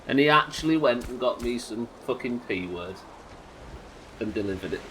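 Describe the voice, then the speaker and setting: A man with a nasal voice, a little hoarse from illness, chatting wryly and swearing casually while streaming a game live. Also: mid-range voice, lively intonation, normal speed, background noise. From United Kingdom. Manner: chatty, wry, swears casually, muttering asides. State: sick, slightly hoarse.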